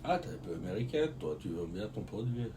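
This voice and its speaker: Man, co-host, joking, halting speech full of fillers, lowish voice, faint hiss. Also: very animated. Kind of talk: casual live-stream chat.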